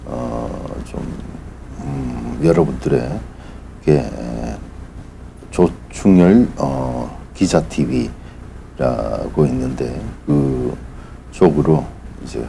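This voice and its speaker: A middle-aged man with an extremely low, gravelly voice addresses his viewers as a channel host. He speaks in a formal, polite but halting manner, feeling his way through an unscripted announcement. A faint layer of noise sits behind the voice. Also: expressive, warm tone.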